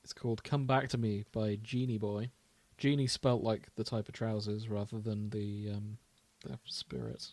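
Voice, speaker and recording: A man's voice: lowish voice, level tone, moderate pace, hardly any pauses, darkish tone, no room sound. A British man co-hosting a podcast, chatting casually about fan fiction. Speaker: podcast co-host.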